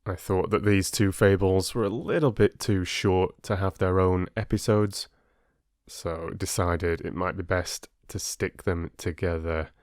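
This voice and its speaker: A man working as an audiobook narrator, reading stories and addressing listeners with measured, deliberate phrasing. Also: deep voice, steady tone, darkish tone, no room sound, clean studio sound. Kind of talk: expressive storybook reading and listener chat.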